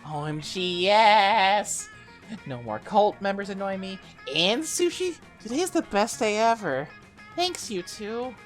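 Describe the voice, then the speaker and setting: A woman playing a cheerful former deity turned monster high-school girl, earnest and expressive, in a game fan dub. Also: slow, soft background music. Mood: excited.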